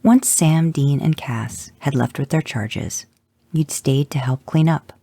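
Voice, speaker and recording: A woman host reading a second-person fan fiction story aloud in steady, matter-of-fact narration. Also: low voice, takes it slowly, creaky, no room sound, studio quality.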